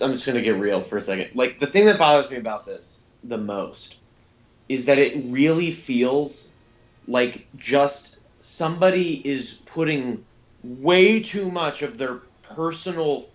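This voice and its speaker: A man's voice: medium pitch, lively intonation, slow. A man co-hosting a podcast, giving casual critique in a rambling, emphatic way on slightly lo-fi audio. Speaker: podcast co-host and reviewer.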